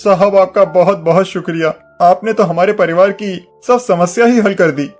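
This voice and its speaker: An actor playing a humble, honest poor village carpenter, deferential and pleading, in a dramatized moral story. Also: male voice, sits high, moderate pace, darkish tone, faint music behind, close to an everyday voice. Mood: humble, grateful.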